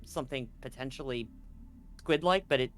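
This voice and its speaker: A man acting as game master, narrating a tabletop roleplaying game in a deliberate, halting way. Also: mid-range voice, a bit bright, soft background music.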